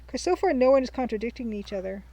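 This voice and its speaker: A young woman hosting a game playthrough, chatting casually and thinking aloud as she reads her notes slowly. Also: fairly high pitch, lively intonation, warm tone, low-quality recording. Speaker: let's-play host. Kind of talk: casual let's-play commentary.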